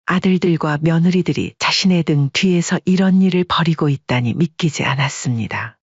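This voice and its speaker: Story narrator, male, husky voice, mid pitch, dry close sound. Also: normal speed, no echo. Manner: steady storytelling. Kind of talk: scripted audiobook narration. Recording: dry, dull lo-fi recording.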